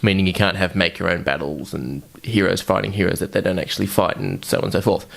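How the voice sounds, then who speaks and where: A man with a fairly low voice talks as a podcast host. His delivery is casual and informal, with loose, sweary banter among friends. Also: fairly even intonation, quick pace, vocal fry. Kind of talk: casual chat.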